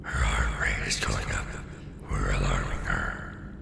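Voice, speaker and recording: A man voicing a gruff, sardonic alien symbiote with a growling monster voice in an audio drama. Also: fairly even intonation, measured pace, reverberant, very noisy, heavily acted. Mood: calm, menacing. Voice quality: deep gravelly growl.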